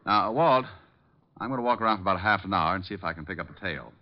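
Casting: a witty, unruffled private investigator, moderately low voice, old radio mystery, muffled recording. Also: male voice, lively intonation. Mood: assured. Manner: wry, unhurried, self-assured. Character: suave, quick-witted male private detective. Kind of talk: 1950s radio detective drama acting. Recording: dull vintage radio recording.

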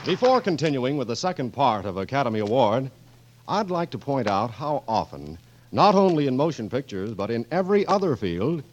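A man's voice: mid-range voice, very animated, moderate pace. A man working as an announcer, reading a sponsor message in 1940s radio style. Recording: dull, faintly noisy vintage radio broadcast.

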